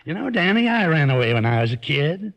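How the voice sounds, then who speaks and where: An actor playing a sly, coaxing small-time crook in an old-time radio drama, with a husky, wheedling voice. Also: male voice, a little high, big pitch swings, fairly quick, a bit bright, exaggerated voice. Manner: wheedling, teasing drawl. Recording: dull, lo-fi old radio recording.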